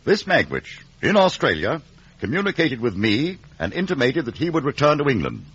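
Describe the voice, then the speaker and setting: A man's voice: mid-range voice, wildly swooping pitch, moderate pace, fairly bright tone, breathy edge, full character voice. An actor playing a stern, guarded, brusque male lawyer in a 1950s radio drama. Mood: guarded, clipped. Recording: muffled, dull vintage radio recording.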